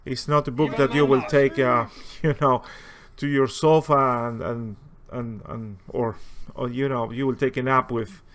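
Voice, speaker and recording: Guy w heavy spanish accent, native spanish speaker, kinda halting and rambly, just chatting unscripted for his gaming video. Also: mid-range voice, fairly even intonation, fairly bright tone. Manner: hesitant, halting, rambling delivery. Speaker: video host, war-gaming enthusiast. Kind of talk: casual, unscripted game and book commentary.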